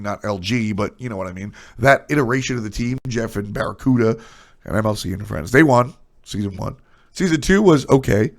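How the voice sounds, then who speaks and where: A man talking casually and bluntly on a live stream, his voice stuffy and nasal from a cold. There's a gravelly rasp at the bottom of his voice. His pitch moves widely as he throws out opinions and quick asides. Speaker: streamer discussing esports.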